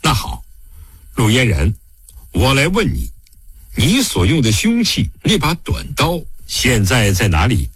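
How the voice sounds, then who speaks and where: A man's voice: mid-range voice, huge pitch swings, unhurried, slightly rough, dry recording, clean studio sound, full character voice. A man narrating an audiobook, voicing a stern, fatherly investigating magistrate with a weighty, admonishing manner. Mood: probing.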